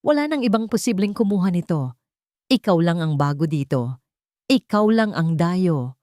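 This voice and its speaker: A woman's voice: lowish voice, expressive, moderate pace, a little mellow, no room sound, studio quality, natural voice. A woman voicing a two-faced senior housemaid in a story, an aunt figure who admonishes firmly. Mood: accusing, agitated.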